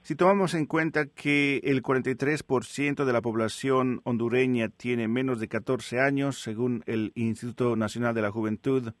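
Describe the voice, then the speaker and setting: Radio guy in a studio, formal, calm questions, mid voice, dry sound. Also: mid-range voice, normal speed, hardly any pauses, no echo. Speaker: radio interviewer. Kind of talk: radio interview.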